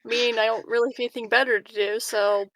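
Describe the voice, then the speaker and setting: A woman's voice: on the high side, little pitch movement, quick pace, fairly bright tone, rough recording. A woman in casual tabletop roleplay, giggly and a little hesitant as she talks. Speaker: tabletop roleplaying game player.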